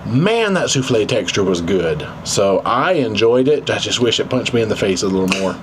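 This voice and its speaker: A man talks casually as a vlog host, with a very lively, swinging pitch. His manner is folksy and playful, as if chatting with viewers. There is faint ambient noise behind him. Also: moderate pace. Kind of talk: casual food and travel chat.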